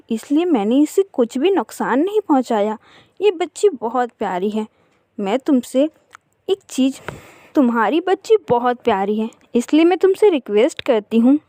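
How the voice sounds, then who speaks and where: A woman's voice: fairly high pitch, dark tone, dry recording. A woman voicing a big female bird of prey in a cartoon story, bossy and scolding yet motherly.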